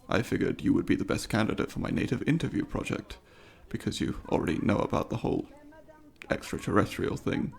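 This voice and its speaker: A man's voice: fairly low pitch, creaky. A man voicing a polite, eager alien student in an ASMR roleplay, speaking formally and precisely to the listener in an inquisitive, apologetic way.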